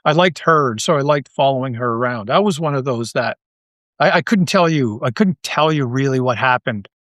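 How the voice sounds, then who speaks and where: A man's voice: medium pitch, animated, moderate pace, a bit bright, no echo, studio quality. A man talking casually as a podcast guest, rambling along with enthusiasm. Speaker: podcast guest, audio drama creator. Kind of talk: casual interview chat.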